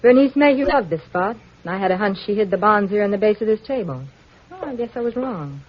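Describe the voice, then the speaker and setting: An actress playing a scheming, seductive femme fatale in a vintage radio melodrama, theatrical and coaxing. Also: female voice, mid-range voice, lively intonation, a little fast, breathy edge. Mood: coy. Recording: muffled vintage radio recording, faint noise.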